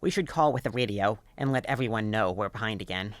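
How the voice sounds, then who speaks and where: A woman's voice: very deep voice, lively intonation, dry recording. Woman playing a composed, primly scolding team leader. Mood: mildly scolding. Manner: measured, faintly condescending. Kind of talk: student audio drama acting.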